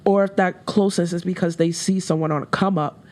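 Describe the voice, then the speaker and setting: Low-voiced woman, candid and reflective. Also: steady tone, fairly quick, mellow. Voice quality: deeper voice for a woman. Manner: candid, reflective, with laughs and many fillers. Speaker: rapper, guest. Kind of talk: casual interview chat.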